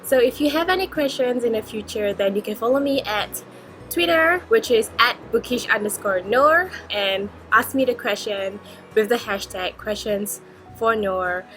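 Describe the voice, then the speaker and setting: A woman's voice: mid-range voice, fairly bright tone. A woman in her twenties chatting in a casual Q&A vlog, upbeat and chatty with frequent giggles. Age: 20s. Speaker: book vlogger.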